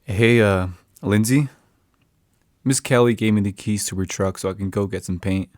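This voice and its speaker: Low-voiced, easygoing teenage boy played by a man. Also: moderate pace, no room sound, studio quality, lightly acted. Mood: casual. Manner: casual, tentative. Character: easygoing high school boy. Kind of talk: podcast audio drama acting.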